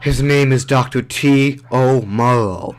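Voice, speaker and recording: A man acts out a sullen henchman who talks very slowly. He sounds grudging, in amateur audio drama style. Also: mid-range voice, natural voice.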